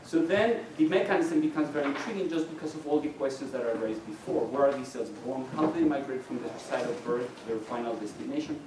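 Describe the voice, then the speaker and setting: A middle-aged man, a native Spanish speaker, explains patiently as a lecturer, often restarting his phrases. His mid-pitched voice comes from some distance away. It rings through a very echoey hall over faint background noise. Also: expressive, fast, few pauses. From Mexico. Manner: explanatory, with frequent restarts and fillers. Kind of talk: university lecture.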